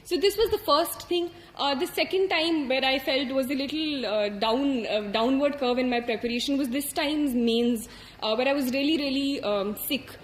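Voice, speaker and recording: A woman's voice: high voice, normal speed, echoey room, low-quality recording. A young woman in her twenties from India with an Indian English accent, earnestly sharing exam preparation advice with many fillers and restarts. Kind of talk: reflective advice talk.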